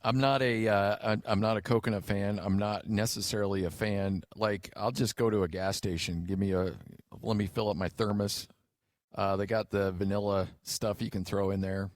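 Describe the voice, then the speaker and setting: A man with an American accent and a fairly low voice chats casually as a sports radio host. The sound is dry and close, like a studio, and his delivery is informal and conversational. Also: steady tone, normal speed, no echo. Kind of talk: casual sports talk banter.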